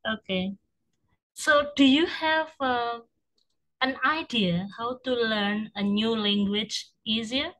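A woman tutor asks test questions in a slow, measured way and adds short encouraging words. Her voice is fairly high. She speaks over a video call. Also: fairly bright tone, low-quality recording. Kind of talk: examiner asking questions. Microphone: video call webcam.

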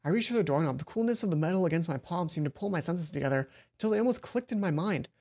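A young adult man reading a visual novel aloud as a let's player, breaking into dramatic, expressive commentary on a headset mic. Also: on the high side, very fast. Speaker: let's play host. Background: game music.

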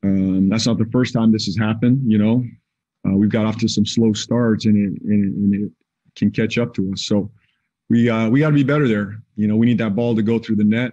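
A man in his forties, a basketball head coach, answering questions at a postgame press conference over a video call in a measured, plain-spoken way with frequent fillers. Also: on the low side, fairly even intonation, mellow, lo-fi sound. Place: indoors in front of a team backdrop.

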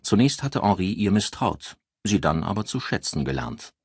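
A man's voice: low voice, big pitch swings, moderate pace, a little mellow, husky, no room sound, studio quality. A man reading a crime novel aloud as a scripted audiobook narrator.

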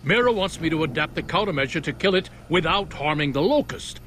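A man's voice: a little high, lively intonation, a little fast, fairly bright tone, slight background noise. A man playing an elderly scientist and father in a video game, speaking earnestly and urgently. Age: older. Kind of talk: video game cutscene acting.

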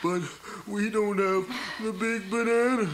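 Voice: medium pitch, steady tone, a little slow, noisy background, lightly acted. An actor voicing a naive, cheerful male horse in a comic radio play, speaking in a wide-eyed, innocent way.

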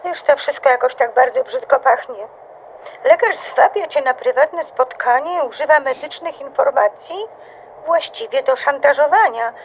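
An actress playing a concerned, blunt best friend who is quick to be indignant, in an audio drama. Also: female voice, sits high, narrow, tinny audio, slight background noise, natural voice. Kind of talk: animated audio drama dialogue.

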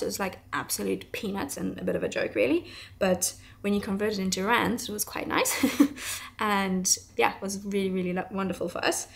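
South african woman rambling to camera at her workbench, bit of creak in her voice, laughs a lot. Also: medium pitch. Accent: South African with some English. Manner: rambling, chatty, laughs often. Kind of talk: casual chat to camera. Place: home workshop, small room indoors.

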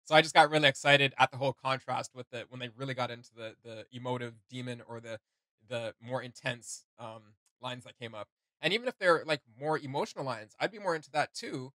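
A man's voice: medium pitch, brisk, pushed and bright, no room sound. A young man giving casual reaction commentary as a video host. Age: young adult. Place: small indoor room with gaming setup.

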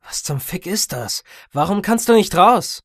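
A man's voice: sits high, expressive, a little slow, dry recording, studio quality, voice pushed up, exaggerated voice. A male narrator plays an angry, alarmed man trapped in a horror audiobook. He curses and speaks in an agitated way to his friend. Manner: agitated, cursing.

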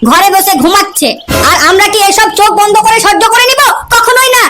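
A performer voicing a loud, foul-mouthed, hot-tempered village father in a comic cartoon skit, scolding in an artificially pitched-up voice. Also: brisk, background noise. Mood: speechifying. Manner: scolding, abusive, shouting threats.

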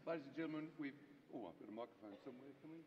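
A man moderating a Q and A, speaking quickly and offhandedly, away from his microphone. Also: mid-range voice, expressive. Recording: distant, reverberant hall, dull.

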